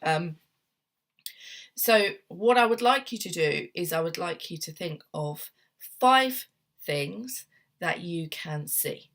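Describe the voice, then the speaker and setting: Soft-spoken young woman with a cold. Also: lowish voice, lively intonation, slow pace, long pauses. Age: young adult. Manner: gentle, meandering, many ums and restarts. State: has a cold, sniffly. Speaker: therapist. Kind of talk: casual self-help talk to camera. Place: home room at a desk.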